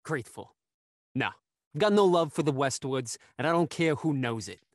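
A man's voice: a little high, expressive, moderate pace, dry recording, close to an everyday voice. Man acting bitter, blunt gardener, dry close sound. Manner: curt, blunt, resentful. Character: bitter, gruff working-class gardener and war veteran. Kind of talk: radio mystery acting, live online table read.

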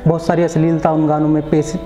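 High-voiced young man reciting poetry. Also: monotone, fast, warm tone, reverberant, big-room sound. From Uttar Pradesh, India. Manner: declamatory, repeats lines for emphasis. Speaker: poet. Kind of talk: open mic poetry recitation. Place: small indoor open mic venue. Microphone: stand mic.

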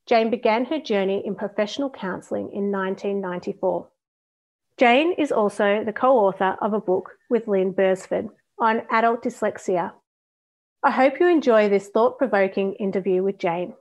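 A woman's voice: mid-range voice, moderate pace, a little mellow. A woman speaking as a podcast host, introducing and interviewing a guest.

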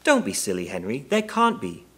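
A man's voice: lowish voice, wildly swooping pitch, normal speed, fairly bright tone, husky, exaggerated voice. A man voicing a proper, kindly married woman in a storybook, given to exclaiming in amazement. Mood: dismissive.

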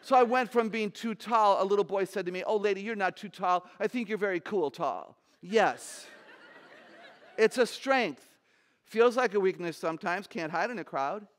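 Woman in her sixties, low voice, animated humorous speaker, large stage, headset mic. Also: normal speed. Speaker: conference speaker.

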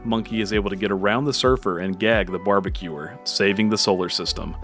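A man's voice: fairly low pitch, fairly quick. A man giving scripted narration for a countdown video about cartoons. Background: music.

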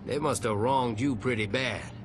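An actor playing a cocky, taunting escaped prisoner with a Louisiana Cajun accent in a video game. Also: male voice, mid-range voice, normal speed, lightly acted. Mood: cocky, teasing. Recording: loud music over the voice.

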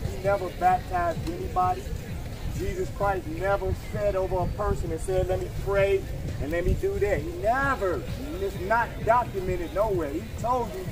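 High-voiced, insistent American street preacher, a man. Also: rough recording. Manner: insistent, argumentative, calling for readings. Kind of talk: confrontational street preaching, expounding scripture. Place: outdoor waterfront brick plaza. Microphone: camera a few meters away.

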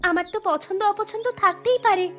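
A woman's voice: very high voice, brisk, lo-fi sound, over music. A woman voicing a cold, unapologetic young woman with a dismissive manner in a cartoon. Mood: defiant. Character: cold, defiant young woman leaving her lover. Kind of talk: melodramatic cartoon acting.

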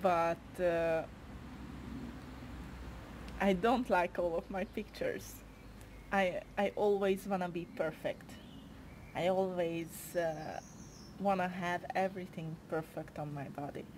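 Slow, low-voiced young Hungarian woman. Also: fairly even intonation, a little mellow, a little hiss or hum. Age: 20s. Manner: hesitant, with frequent pauses and fillers. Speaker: interviewee. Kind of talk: casual interview answers.

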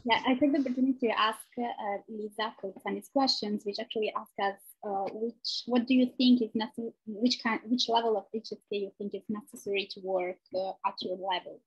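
A woman moderates an online panel, reading out an audience question with hesitant fillers. Her voice is soft and breathy, heard over a video call. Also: mid-range voice, poor audio. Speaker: webinar moderator.

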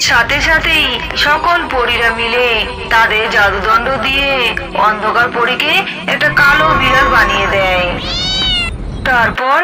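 A woman's voice: fairly high pitch, unhurried, long pauses, poor audio. A woman giving scripted narration for a fairy-tale cartoon.

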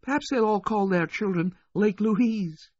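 A man's voice: high-pitched, unhurried, a little mellow, no echo, highs cut off, voice pushed up. A man voicing a sulky, bored rich teenage girl who blurts things out, in an audiobook story. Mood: chatty, blunt. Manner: disgruntled, blurting.

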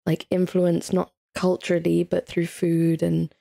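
Guest, woman, 22, from England; chatty and laughing, low mellow voice with vocal fry. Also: monotone, unhurried. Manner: chatty, laughs often, many "like" fillers. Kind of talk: casual podcast chat.

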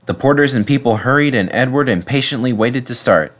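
A man narrating a children's storybook in an expressive, dramatic way. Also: medium pitch, a little fast. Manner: animated, dramatic reading. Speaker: narrator reading a children's book.

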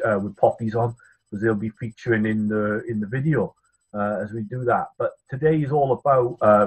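A Welsh man in his thirties, a priest, giving a chatty school assembly talk from his study over a video call, with many filler pauses. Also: mid-range voice, slightly rough, low-quality recording. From Wales. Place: home study, indoors. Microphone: laptop webcam on video call.

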